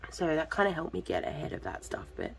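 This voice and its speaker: A woman's voice: low voice, sing-song, quick pace, creaky, slight background noise. A woman, talking casually to her viewers, congested and coughing, her throat a bit off, rambling with lots of ums. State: lingering cough and congestion after illness. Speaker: vlogger, budgeting channel host.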